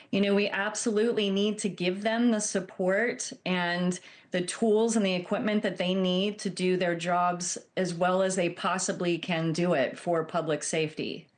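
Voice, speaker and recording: A woman airline captain giving measured, earnest explanations as an expert guest in a news interview. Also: fairly low pitch. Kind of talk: broadcast news interview answers.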